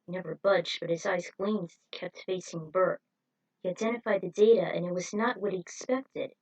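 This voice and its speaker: A woman's voice: fairly low pitch, steady tone, fairly quick, darkish tone, low-quality recording. A woman narrating a scripted story, reading it aloud as a storyteller.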